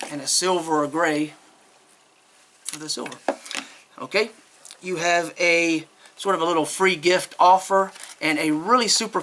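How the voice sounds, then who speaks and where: A man's voice: on the high side, pushed and bright. A man giving a casual, unscripted product review in a chatty, rambling, candid way.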